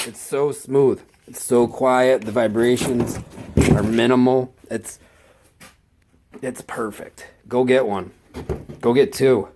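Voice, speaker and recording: A man with a stuffed-up cold, casually showing off his work tools in a slow, halting, enthusiastic way. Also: medium pitch. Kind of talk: casual tool walkthrough.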